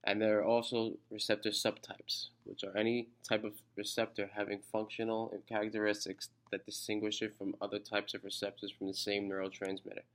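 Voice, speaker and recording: Man, stuffy congested voice, lowish and flat, reading outline notes, stumbles on jargon. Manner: halting, restarts on technical words. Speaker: student presenter. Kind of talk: reading a study outline aloud.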